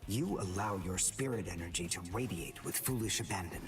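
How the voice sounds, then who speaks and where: An actor playing a cold, arrogant teenage boy rival in an English anime game dub, speaking in a deliberate, condescending way. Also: sits low, recorded from a distance, over music, lightly acted. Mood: smug lecturing.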